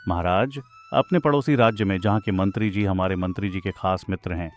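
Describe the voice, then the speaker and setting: Man playing clever farmer, lowish voice. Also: a little fast, soft background music, natural voice. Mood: politely cunning. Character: clever, confident village farmer, respectful but bold. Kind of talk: animated moral story dialogue.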